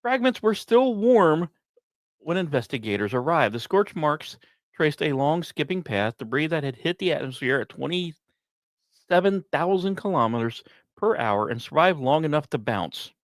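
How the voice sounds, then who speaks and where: A man hosting a podcast, reading scripted narration in a dramatic storytelling manner with pauses and wry, casual asides. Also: on the high side, sing-song, no echo. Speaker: podcast host.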